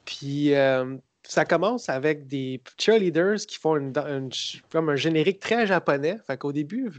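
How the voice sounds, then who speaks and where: A man with a Québécois accent, co-hosting a casual podcast and describing a film in a sarcastic, rambling, halting way. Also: on the high side, animated, no room sound. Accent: Québécois French. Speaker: podcast co-host. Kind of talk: casual podcast chat about films.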